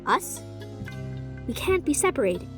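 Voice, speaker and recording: A woman voicing an impulsive, curious young woman who gets excited and bursts out easily. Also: high-pitched, over music. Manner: excitable, quick to outbursts.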